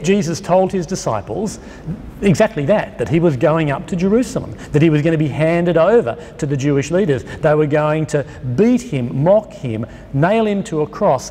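A middle-aged man with an Australian accent, preaching a sermon at a lectern microphone, emphatic and slowing down on key phrases. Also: a little high, warm tone. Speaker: pastor. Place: church hall with a lectern. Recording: long reverb of a church hall.

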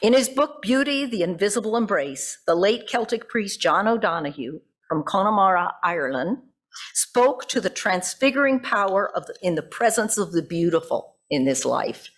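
An older woman speaks as a pastor from a pulpit, at a medium pitch. She reads her sermon in deliberate phrases, then steps out of it for casual asides and small corrections of herself. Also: expressive. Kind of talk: sermon read from notes with informal asides. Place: church sanctuary at a pulpit. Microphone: gooseneck pulpit microphone.

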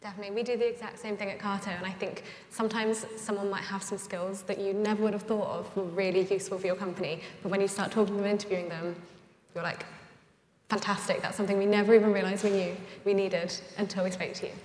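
A woman moderating a live panel discussion on a conference stage, friendly and brisk, keeping an eye on the time. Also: medium pitch, level tone, a little hiss or hum. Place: large conference hall stage. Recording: roomy hall with long reverb.

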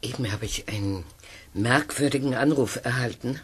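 An actress playing a composed, courteous boarding-school headmistress in a children's radio play, formal and measured, hesitant when puzzled. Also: female voice, a bass voice, slightly rough, exaggerated voice. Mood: pensive. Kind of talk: children's audio drama acting.

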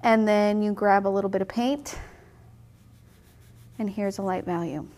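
A woman's voice: medium pitch, a little slow, a little mellow. Brief: woman in her 30s, cheerful, talkative painting teacher, at a table in an indoor studio. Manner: upbeat, chatty, explains step by step. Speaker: watercolor instructor. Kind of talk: casual on-camera painting tutorial.

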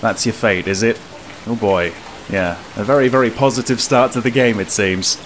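A man's voice: on the low side, moderate pace, fairly bright tone. A man in his thirties giving casual, wryly understated commentary as host of a gameplay video. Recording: very noisy game ambience behind.

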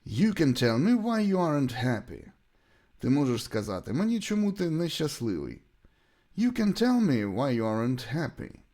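A man with a Ukrainian accent, a native Ukrainian speaker, teaching English by deliberately reading out short example sentences and repeating them. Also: medium pitch, very animated, a little mellow. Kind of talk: bilingual language lesson, reading example sentences and translations.

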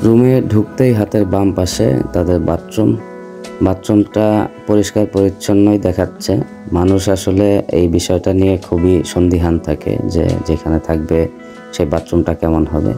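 A man's voice: low-pitched, fairly even intonation, normal speed, music in the background. A man in his thirties with a Bangladeshi accent, a travel vlogger narrating a hotel review and explaining things to viewers.

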